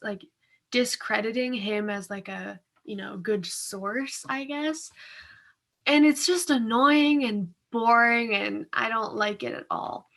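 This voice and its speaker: High-voiced, casual young woman. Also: bright, forceful tone. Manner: colloquial, loosely phrased, heavy use of "like". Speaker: co-host of a reading discussion. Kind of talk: casual chat.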